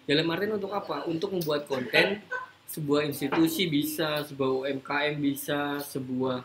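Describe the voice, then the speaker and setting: Man explaining casually over loud noise. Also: on the high side, fairly even intonation, normal speed. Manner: informal, explanatory, mixing in English business terms. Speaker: host, creative agency founder. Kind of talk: casual live talk, explaining. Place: room, live social media broadcast. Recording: noisy live-stream room recording.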